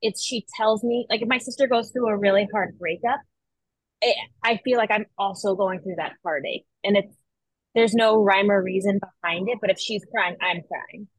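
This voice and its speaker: Young woman guest, slightly husky, dry sound, reflective and measured. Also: medium pitch, no echo, rough recording. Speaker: podcast guest, twin. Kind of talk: casual chat.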